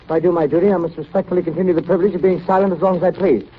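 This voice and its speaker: A sullen little scientist in a 1930s radio play, stubbornly formal. His highish voice rattles along very fast through a dull old broadcast. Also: steady tone. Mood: sullen, defiant. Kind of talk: 1930s radio drama acting.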